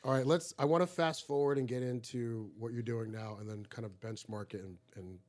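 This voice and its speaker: A man from Egypt hosts a podcast from a studio and talks in a loose, joking, rambling way. His voice sits at an ordinary pitch for a man. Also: steady tone, a little fast, darkish tone. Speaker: podcast host. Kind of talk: casual podcast chat.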